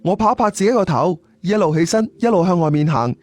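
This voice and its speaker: High-voiced Cantonese male storyteller. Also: expressive, fast talker, darkish tone, dry recording. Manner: conversational storytelling with colloquial particles. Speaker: audiobook storyteller. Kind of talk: scripted first-person story narration.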